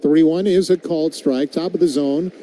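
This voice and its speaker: Very mellow-voiced male baseball play-by-play commentator. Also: a little high, fairly even intonation, normal speed, rough recording. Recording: live broadcast with clear background noise.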